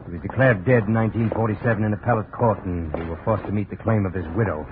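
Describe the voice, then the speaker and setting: Man playing a stern formal insurance boss, lowish voice, old radio drama, tinny with some hiss. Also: fast, natural voice. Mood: businesslike, insistent. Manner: formal, directing, matter-of-fact. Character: businesslike insurance claims adjuster, insistent, skeptical man. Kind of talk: 1950 radio drama acting. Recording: thin, noisy old radio recording.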